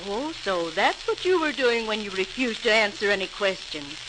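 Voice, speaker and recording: A woman's voice: medium pitch, sing-song, moderate pace, close to an everyday voice. An actress playing the doting, bossy middle-aged mother of a grown son in a 1940s radio drama. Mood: fond, fussing. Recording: hissy, crackling 1940s radio recording.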